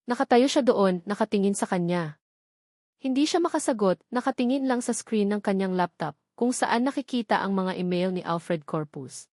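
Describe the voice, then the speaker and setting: A woman narrates scripted fiction in a measured, solemn storytelling manner. Her voice sits at a medium pitch. The sound is dry, free of any room echo. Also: animated, a little mellow.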